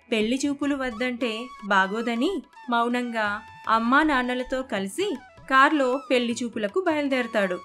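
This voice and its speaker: Female narrator, high pitch, scripted storytelling, music bed. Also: fairly bright tone. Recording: constant background music.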